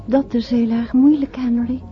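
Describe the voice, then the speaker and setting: High-voiced, pleading, frightened woman played by an actress. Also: flat delivery, normal speed, close to an everyday voice. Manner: pleading, emotional, earnest. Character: frightened, tender, lovelorn woman in a bar. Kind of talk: radio drama acting. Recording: dull old radio recording, faint music.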